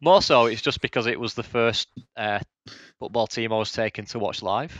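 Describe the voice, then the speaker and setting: A man's voice: mid-range voice, normal speed, a bit bright, dull audio. A man in his thirties from England, co-hosting a podcast chat, hesitant and often repeating words as he speaks. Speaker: podcast co-host. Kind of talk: casual chat.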